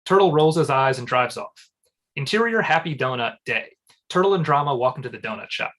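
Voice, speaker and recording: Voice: medium pitch, expressive, a little fast, a bit bright. Table-read narrator, mid-range voice, reading stage directions as scripted narration.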